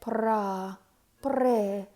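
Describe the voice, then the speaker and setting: A woman voicing a flustered, excitable male baker from a storybook, with stammering and exclaiming speech. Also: mid-range voice, drawn-out words.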